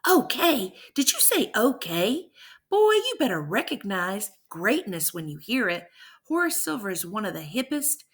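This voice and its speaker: A woman's voice: medium pitch, big pitch swings, measured pace, fairly bright tone, breathy edge, full character voice. A woman voicing an enthusiastic, boastful dad who talks in playful old-school slang, in a storybook reading. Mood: playful, emphatic. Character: jazz-loving dad, hip, boastful, enthusiastic older-generation man.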